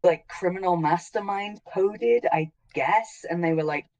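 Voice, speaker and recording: A woman's voice: on the low side, a little slow, darkish tone. A woman talking casually on a panel as an audio drama creator, hesitant and searching for her words.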